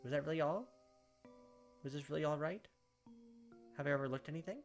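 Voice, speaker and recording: A man's voice: fairly high pitch, brisk. Man reading a visual novel over music. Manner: dramatic first-person reading, casual asides. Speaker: gaming video host. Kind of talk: visual novel read-aloud with casual commentary. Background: game music.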